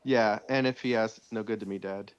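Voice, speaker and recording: A man's voice: lowish voice, fairly even intonation, fairly quick, clean tone, highs cut off. A man giving deck advice in casual chat over a voice call. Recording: noisy voice call with clicks.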